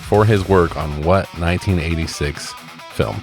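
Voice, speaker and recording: A man's voice: low voice, normal speed. A man co-hosting a casual quiz-style chat about movies and games, joking and swearing informally. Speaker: co-host. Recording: guitar music behind the voice.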